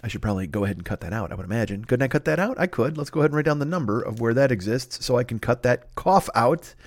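A man hosting a podcast in a rambling comic monologue, loud-mouthed, digressive, self-deprecating and freely swearing. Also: mid-range voice, animated, fast talker, no echo. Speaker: podcast host.

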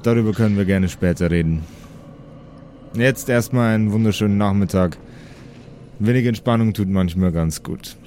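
A man's voice: on the low side, moderate pace, pauses often, a little mellow, close to an everyday voice. Man playing a smug, teasing paladin jailer, noisy recording. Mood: mock-friendly. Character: smug male paladin jailer, calmly teasing his prisoner.

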